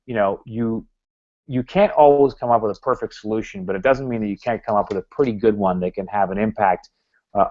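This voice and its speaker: A man speaking as a guest at a club, his voice sitting a little low. He rambles, circling back with frequent fillers and restarted phrases. The recording sounds dull and lo-fi. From Canada. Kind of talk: talk to a club.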